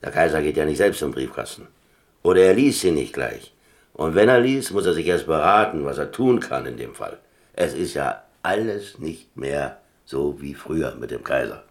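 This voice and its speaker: Casting: wry ex-convict adventurer, radio play, low voice, colloquial, dry, musing delivery. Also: male voice, very animated, moderate pace, heavily acted. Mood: gruff, practical. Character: laconic, wry German ex-prisoner and adventurer telling his story. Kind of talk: radio drama acting and first-person narration.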